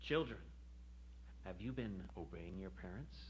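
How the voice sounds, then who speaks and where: A man's voice: deep voice, animated, measured pace, a little mellow, slightly rough, full character voice, rough recording. An actor in his forties playing a creepy, gushing children's TV storytime host, talking to children with exaggerated sing-song enthusiasm. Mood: gushing praise. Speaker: children's show presenter. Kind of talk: 1990s children's TV presenting.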